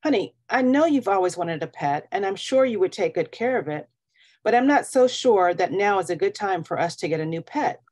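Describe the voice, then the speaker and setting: Woman reading as a gently firm storybook mom. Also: medium pitch, lively intonation, brisk, close to an everyday voice. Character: busy, caring but firm mother of a girl.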